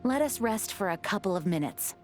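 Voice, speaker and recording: A woman's voice: medium pitch, animated, brisk, darkish tone, soft background music, lightly acted. A woman playing a dutiful, earnest young knight in a video game, speaking formally and with composure. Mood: solemn, resolute. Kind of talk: video game character voice lines.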